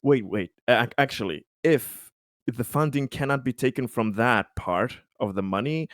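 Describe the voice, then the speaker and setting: A man chatting casually as a tabletop game player, haltingly and often repeating words as he interjects. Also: medium pitch, a bit bright, no echo, studio quality.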